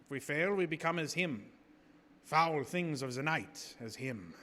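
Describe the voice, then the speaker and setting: Gothic radio drama: grave, emphatic professor and vampire hunter, fairly high voice, big hall reverb. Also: male voice, animated, sharp tone. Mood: grave, urgent. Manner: solemn, emphatic, oratorical, measured. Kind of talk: theatrical live radio drama acting. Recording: live theater stage, reverberant hall, music behind.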